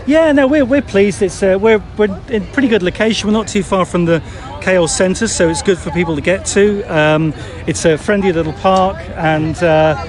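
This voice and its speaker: A man's voice: sits high, moderate pace, a crowd in the background. A man from the UK with a British accent, answering questions in a friendly, hesitant way and often repeating words, recorded outdoors in a park. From United Kingdom. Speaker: run director. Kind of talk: casual interview. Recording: outdoor recording with lots of voices nearby.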